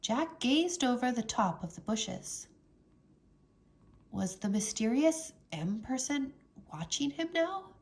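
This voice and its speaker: Slow, expressive woman storyteller. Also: fairly high pitch. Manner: expressive, dramatic. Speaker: English teacher reading aloud. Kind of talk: storybook narration for children.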